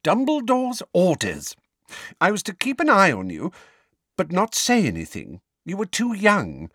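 A man voicing a flustered, scolding elderly woman in an audiobook, exclaiming and fussing in a shrill, indignant way. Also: huge pitch swings, a little slow, fairly bright tone, no echo, studio quality, full character voice. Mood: agitated, fretful. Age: older.

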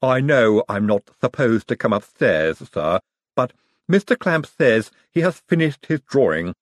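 A man's voice: medium pitch, sing-song, a little slow, no room sound, clean studio sound, voice pushed up. A man voicing a polite, deferential male servant.

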